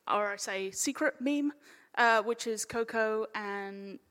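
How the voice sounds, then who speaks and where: A woman's voice: on the high side, slow pace, a bit bright. Playful woman chairing in an echoey hall. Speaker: panel chair. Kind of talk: informal live panel moderating. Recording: echoey hall with long reverb.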